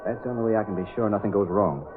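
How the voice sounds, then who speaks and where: An actor playing a wary, domineering railroad card sharp in an old-time radio drama. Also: male voice, lowish voice, racing, natural voice. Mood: curt, businesslike. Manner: curt, suspicious. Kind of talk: 1930s-40s radio drama acting. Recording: tinny, noisy old radio recording.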